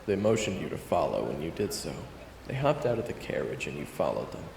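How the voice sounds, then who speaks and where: A man's voice: rumbling low, big pitch swings, brisk, creaky, darkish tone, slight background noise. A man narrating a scripted story in the second person, in a reverberant recording. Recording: reverberant, echoey voice over music.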